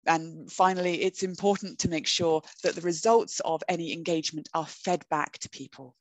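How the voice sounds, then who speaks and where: Woman giving a measured webinar lecture. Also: low-pitched, steady tone, no echo, rough recording. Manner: measured, explanatory, with frequent "um" and rephrasings. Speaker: academic speaker in a webinar. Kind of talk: recorded lecture presentation. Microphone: computer webcam mic on a video call.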